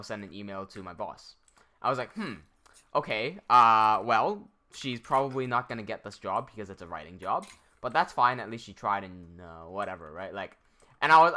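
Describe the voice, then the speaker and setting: A young man from Hong Kong spins a rambling anecdote for his stream chat, stumbling through restarts and acting out both sides of the conversation. His pitch swings a lot, and his tone is bright and forward. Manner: rambling, with many restarts and quoted dialogue. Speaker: streamer telling a story. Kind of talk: casual storytelling to live chat.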